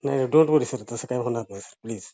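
A man's voice: mid-range voice, quick pace, very noisy. A middle-aged man with a Marathwada Marathi accent, speaking on the phone in a deferential, pleading and placating way. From Jalna district, Maharashtra, India. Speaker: government official. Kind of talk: phone conversation. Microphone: phone call recording. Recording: muffled phone call recording.

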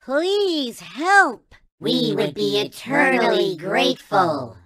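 A man's voice: very high-pitched, wildly swooping pitch, takes it slowly, bright, forceful tone, heavily acted. A man voicing a tiny, childlike alien toy in a video game, speaking with reverent wonder in formal, chant-like phrases. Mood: earnest alarm. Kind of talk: video game character voice clips.

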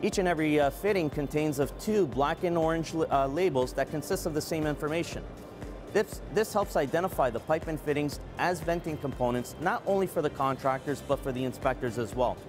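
A man in his forties, a product manager, giving a measured, earnest scripted product presentation at a trade show booth on a lapel mic. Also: fairly high pitch. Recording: clean voice over background music.

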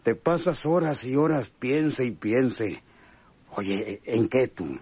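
A husky, unhurried voice with a Mexican accent. He is a sly, hardened convict playing the good pal. His talk is slangy and hesitant, close and dry in sound. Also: medium pitch, lively intonation, a little mellow, no room sound. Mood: chummy, curious. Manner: slangy, hesitant drawl with knowing chuckles. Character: chummy, sly male convict, a hardened killer. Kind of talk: radio drama acting.

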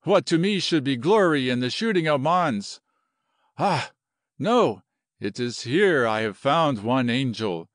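A man voicing an excitable, romantic Colombian general with a Spanish accent and flowery broken English. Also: mid-range voice, unhurried, no echo, clean studio sound, lightly acted. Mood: rapturous.

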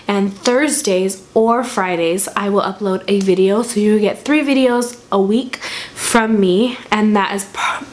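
Chatty young woman, hoarse from a cold. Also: mid-range voice. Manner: chatty, rambling, frequent ums and restarts. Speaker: vlogger. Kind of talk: casual chat to camera. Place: small room at home, indoors. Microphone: camera in front at desk distance.

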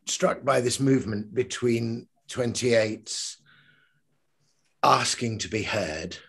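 An older poet with a husky, slightly breathy voice speaks over a video call from his living room. He talks earnestly with restarts and reads verse with rising emphasis. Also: medium pitch, slow pace, fairly bright tone. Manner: earnest, with many restarts in talk and swelling emphasis when reading. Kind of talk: discussion and reading his poem aloud.

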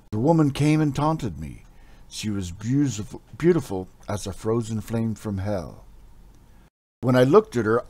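A man's voice: on the low side, darkish tone. A man voicing a dazed, half-delirious barbarian hero in a read-aloud fantasy story. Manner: hazy, strained, intense.

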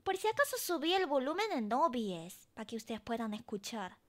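A woman streamer chats playfully with her viewers, talking straight to them. Her voice sits high and swings widely in pitch, bouncing between teasing and chatter. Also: big pitch swings, a little fast. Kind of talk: casual live-stream chat.

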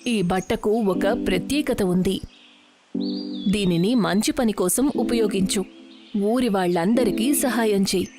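A woman voicing a kindly old village woman who gives caring, gentle advice in a story. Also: mid-range voice, unhurried, music in the background, lightly acted. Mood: gentle concern.

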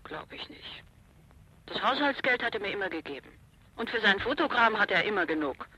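An actress playing a curt, guarded sister who keeps house, in a German crime radio play, defensive and clipped. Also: female voice, mid-range voice, expressive, fairly quick, sharp tone, slightly rough, rough recording. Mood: guarded, bitter. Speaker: actor in an audio drama.

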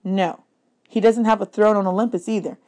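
A woman's voice: mid-range voice, steady tone, a little fast, mellow, natural voice. A woman voicing a nervous, glum young male satyr sidekick in a children's audiobook, explaining things anxiously. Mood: grave, explaining. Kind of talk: children's fantasy audiobook character.

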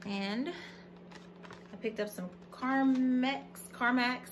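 A woman's voice: high-pitched, drawn-out words, a little hiss or hum. A woman in her thirties with an American accent, chatting casually and folksily to camera as she shows products. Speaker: vlogger. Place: indoors in a plain-walled room at home.

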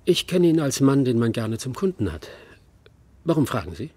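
Höflicher Geschäftsmann, belegte Stimme. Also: male voice, medium pitch, sing-song, moderate pace, a little mellow, slightly rough. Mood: assured. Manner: formal, courteous. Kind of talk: German radio play acting.

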